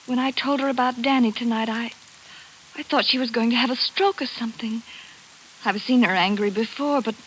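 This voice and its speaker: Through the faint hiss of a vintage radio broadcast, an actress plays a young woman who is troubled and earnest. Her high voice pleads in 1940s dramatic style. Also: fairly quick, fairly bright tone, close to an everyday voice, rough recording. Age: young adult. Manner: earnest, plaintive.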